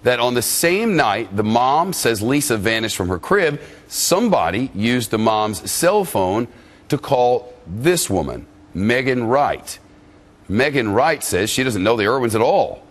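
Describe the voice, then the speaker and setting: A man's voice: medium pitch, big pitch swings, a little slow. A man anchoring a news program and moderating a panel in a blunt, skeptical, interjecting manner.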